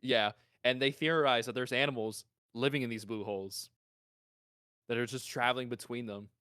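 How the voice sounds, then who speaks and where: A man co-hosting a podcast, talking casually and informally in an animated way. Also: medium pitch, moderate pace, clean tone, no room sound, studio quality. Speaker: podcast co-host.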